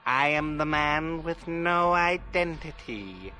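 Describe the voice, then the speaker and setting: A man's voice: on the high side, lively intonation, slow pace, noisy background. A man hosting a show with a spooky, dramatic introduction, drawing out his words theatrically. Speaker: podcast host.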